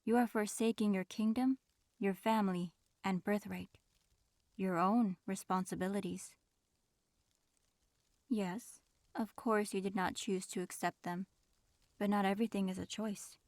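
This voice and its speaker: A woman playing a proud, reserved dragon in human form, speaking formally and with measured aloofness in an audio roleplay. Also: mid-range voice, fairly even intonation, a little mellow, no room sound, clean studio sound, close to an everyday voice. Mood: solemn, softening. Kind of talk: audio roleplay acting, addressing the listener.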